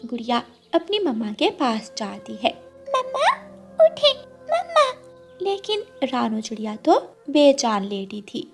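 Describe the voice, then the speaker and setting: A woman narrates a cartoon tale in a high voice. She takes her time through the scripted lines, with music clearly playing underneath. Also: big pitch swings, measured pace, hardly any pauses.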